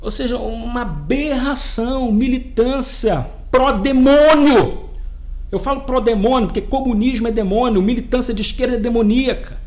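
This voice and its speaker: A man with a Brazilian accent giving an indignant, emphatic, repetitive opinion rant to his viewers as a political vlogger. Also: very high-pitched.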